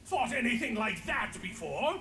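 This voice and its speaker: An actor playing a panicky, defeatist council leader in a fantasy game, agitated and theatrical. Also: male voice, very high-pitched, very animated, normal speed, roomy sound, background noise. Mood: panicked, indignant. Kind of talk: dramatic fantasy game voice acting.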